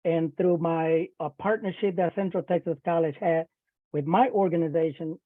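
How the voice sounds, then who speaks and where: A man's voice: fairly high pitch, steady tone, normal speed, no room sound. A man, giving a casual webinar talk over a video call, often repeating and restarting his words. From Puerto Rico. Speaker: webinar speaker, cybersecurity professional. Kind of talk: casual presentation. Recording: thin phone-like online call audio.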